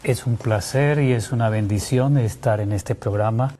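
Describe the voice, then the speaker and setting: A man's voice: mid-range voice, normal speed, dark tone. A man with a Colombian accent from Santander, speaking as a guest doctor and explaining things in an interview. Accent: Colombian Spanish, Santander.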